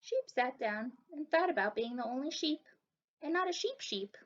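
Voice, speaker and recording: High, animated young woman reading a storybook. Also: moderate pace, highs cut off. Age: young adult. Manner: expressive, addressing young children. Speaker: story time reader. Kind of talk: children's picture book read-aloud. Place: living room indoors.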